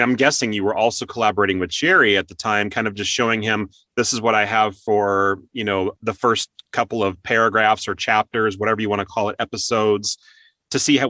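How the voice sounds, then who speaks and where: Bright-voiced male interview host, dry sound. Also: fairly low pitch, no echo. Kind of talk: casual interview chat.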